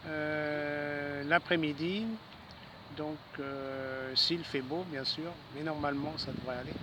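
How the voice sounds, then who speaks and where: A Frenchman with a fairly high voice talks on amateur radio from a city garden, with clear outdoor noise around him. His delivery is casual and easygoing, with halting pauses as he hands over the conversation. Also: fairly even intonation. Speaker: amateur radio operator, channel host. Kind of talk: casual radio contact chat. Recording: noisy outdoor city ambience. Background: urban outdoor noise, traffic.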